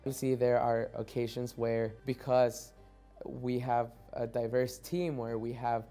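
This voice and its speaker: Mellow-voiced young man from Mexico. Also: medium pitch, level tone, measured pace, soft background music. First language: Spanish. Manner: reflective, repeats words as he restarts. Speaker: soccer player. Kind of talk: documentary interview.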